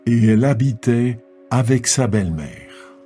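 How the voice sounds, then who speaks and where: A man narrating dramatised scripture in a solemn, measured storytelling delivery. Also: mid-range voice, animated, dark tone, soft background music.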